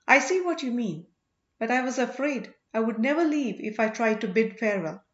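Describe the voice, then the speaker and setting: An earnest banished young king as a woman reader plays him speaks courteously and with devotion. The voice is fairly high, in storybook dialogue with noticeable room echo and a slightly dull sound. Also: expressive, normal speed, fairly bright tone. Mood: apologetic. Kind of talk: audiobook character dialogue. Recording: roomy, slightly dull home recording.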